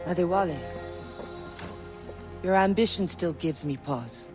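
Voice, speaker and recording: A performer playing a proud, world-weary Haitian informant in a video game, speaking in a measured, formal and deliberate way. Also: medium pitch, music in the background, natural voice. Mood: guarded.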